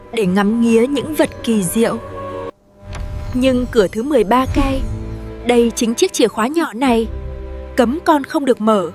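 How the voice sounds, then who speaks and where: A woman's voice: a little high, darkish tone. A woman voicing a regal heavenly mother in a storybook, caring but stern, with a solemn, commanding manner.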